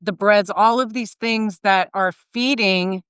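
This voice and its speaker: A woman's voice: mid-range voice, measured pace, clean tone, no echo, clean studio sound. Blunt, emphatic woman podcast host. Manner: blunt, emphatic, animated storytelling. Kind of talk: casual interview conversation.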